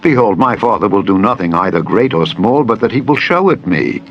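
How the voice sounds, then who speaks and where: Male reader as loyal biblical prince, solemn, measured, very low voice, scripture drama, soft music underneath. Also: lively intonation, lightly acted. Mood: reassuring. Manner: measured, solemn archaic oath-making. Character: Jonathan, loyal, solemn prince and devoted friend. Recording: dull lo-fi audio with music. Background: background music.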